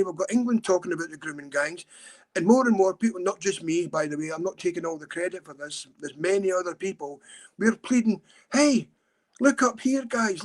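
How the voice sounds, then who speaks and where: A middle-aged Scottish man telling a story in an earnest, urgent way. He talks a lot, in a casual podcast chat. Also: high voice. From Scotland. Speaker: campaigner and guest.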